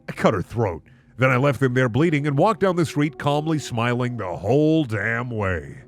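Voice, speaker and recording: A man voicing a weary, smug slasher killer narrating his own murders in a deadpan, dramatic way. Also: mid-range voice, normal speed, close to an everyday voice.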